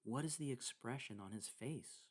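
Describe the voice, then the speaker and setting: A man leading a guided meditation, speaking deliberately in short phrases with pauses between them. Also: medium pitch, slightly rough. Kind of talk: guided meditation narration with scripture reading.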